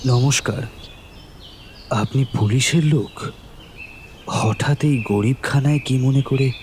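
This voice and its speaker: A man playing an older, well-off gentleman in an audio drama, courteous yet defensive and disdainful, speaking deliberately. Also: medium pitch, slight background noise, close to an everyday voice.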